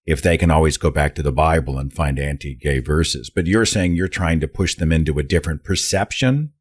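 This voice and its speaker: A man hosting a podcast interview, asking questions in an animated, conversational way. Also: extremely low pitch, a little fast, slightly rough. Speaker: podcast host.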